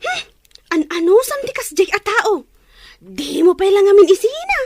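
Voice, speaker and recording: A woman plays a prying, conniving confidante in an audio drama. Her voice is extremely high and animated, captured close and dry. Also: moderate pace, dry recording. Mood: prying, urging. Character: prying, scheming female friend egging on an affair. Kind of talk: Ilocano audio drama dialogue.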